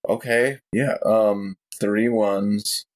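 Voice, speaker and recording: A man with a lowish voice takes his turn in a tabletop role-playing game. He speaks casually and practically, halting a little as he plans his moves. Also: steady tone, noisy background. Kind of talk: casual improvised game talk.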